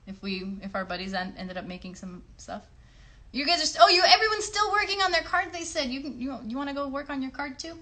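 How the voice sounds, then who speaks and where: A woman's voice: high voice, very animated, fairly quick, bright, forceful tone. A woman hosting a casual children's livestream, encouraging young viewers and teaching crafts with frequent little check-in questions. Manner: encouraging, addresses children directly with tag questions.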